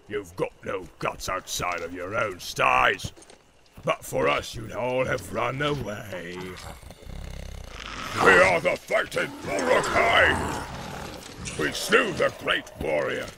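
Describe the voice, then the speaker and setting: A man voicing a big, brutal, boastful orc captain with a deep growl, barking commands in a fantasy audiobook. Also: gravelly, noisy background, exaggerated voice. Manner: barked, emphatic declarations.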